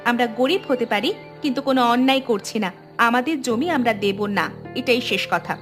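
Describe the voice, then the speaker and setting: A bold young village woman in a cartoon story who stands up to the powerful, voiced by a woman. Her voice sits high and comes out quick and defiant. Soft music plays underneath. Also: expressive.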